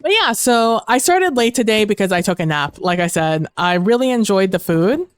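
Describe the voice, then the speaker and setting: A woman's voice: medium pitch, lively intonation, moderate pace, clear, resonant tone, no echo. Woman, gaming streamer, headset mic, casual rambling chat, losing her voice, dry close sound. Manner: chatty, rambling with fillers.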